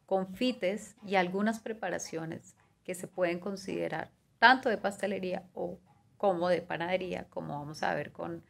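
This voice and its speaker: A woman in her thirties giving a measured, partly read talk over a video call from a small room, listing things one by one and filling pauses with "eh". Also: on the low side, creaky. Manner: measured, listing items, with fillers like "eh" and "digamos". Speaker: guest speaker on pastry and cuisine. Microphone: video call webcam.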